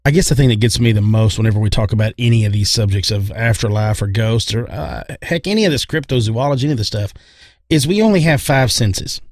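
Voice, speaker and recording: A man's voice: lowish voice, expressive, fast talker, dry recording, studio quality. A man co-hosting a podcast, giving his opinions in casual, rambling talk with emphatic repetition. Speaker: podcast co-host.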